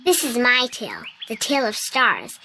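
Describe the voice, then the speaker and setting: A woman voicing a small winged girl who earnestly tells a children's tale, in video game dialogue, with loud noise behind. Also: a little high, huge pitch swings, normal speed. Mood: storytelling. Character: small winged girl, sweet and eager storyteller.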